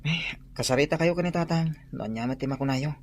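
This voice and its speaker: A man's voice: on the high side, fast talker, slight background noise, lightly acted. A man acting a respectful, hesitant young son in a radio drama, with a Filipino accent. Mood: evasive. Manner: hesitant, deferential.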